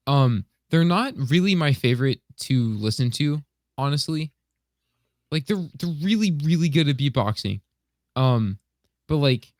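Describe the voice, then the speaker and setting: Male panelist, medium pitch, dry recording, casual chat, measured, softened opinions. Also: no echo. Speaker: podcast panelist.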